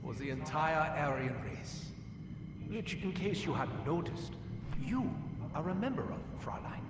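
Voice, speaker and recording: An actor playing a pompous, menacing Nazi scientist officer with a German accent and a grandiose, mock-polite delivery in a video game audio log. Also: male voice, fairly high pitch, animated, measured pace, recorded from a distance. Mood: menacing politeness. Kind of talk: scripted dramatic audio log acting. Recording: echoey long-reverb hall, loud background noise.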